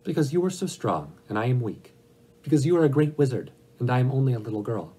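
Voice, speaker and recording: A man's voice: mid-range voice, big pitch swings, quick pace, dark tone, husky, voice pushed up. A man voicing a small, meek young girl who speaks in an earnest, simple, pleading manner.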